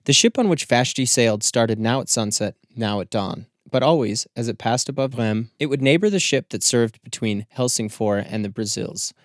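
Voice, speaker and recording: A man reading scripted literary narration as an audiobook narrator, with measured, deliberate phrasing. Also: mid-range voice, no echo, clean studio sound.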